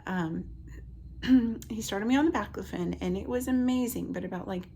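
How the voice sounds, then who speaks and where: Scratchy-voiced woman in her late thirties, creaky. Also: medium pitch, moderate pace, dark tone. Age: late 30s. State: getting over a cold with a lingering cough. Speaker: vlogger, stay-at-home mother. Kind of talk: casual personal health storytelling to camera.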